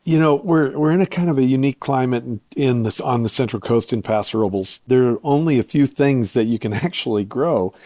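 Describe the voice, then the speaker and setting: Male interview guest, American, soft warm timbre, dry recording, explains things in short measured phrases. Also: medium pitch, no room sound. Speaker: guest, vineyard owner and viticulturist.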